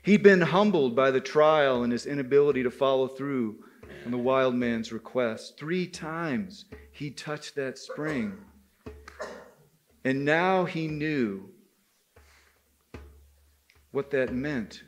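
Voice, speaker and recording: A man telling a story to a live gathering in a deliberate, dramatic way, with pauses, as the leader of the group. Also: mid-range voice, expressive. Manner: deliberate, pausing, addresses listeners as brothers. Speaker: storyteller leading a men's spirituality gathering.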